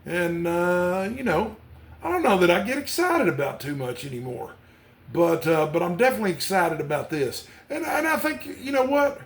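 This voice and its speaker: A man's voice: sits high, very animated, moderate pace. A man hosting a book channel, talking casually and conversationally without a script, in a rambling way. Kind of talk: casual unscripted book vlog.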